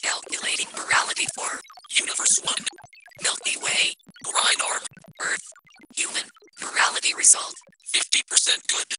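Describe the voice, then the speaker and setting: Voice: moderate pace, poor audio. A performer voicing an officious talking judgment machine in a radio drama, with clipped announcements and a whispery synthetic filter. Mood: flat, mechanical. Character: flat, officious talking soul-judging watch machine.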